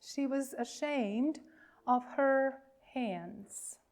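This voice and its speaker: Slow, higher-pitched woman minister telling a story. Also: darkish tone. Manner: deliberate phrasing with pauses. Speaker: minister leading worship. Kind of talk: worship reading and children's storytelling.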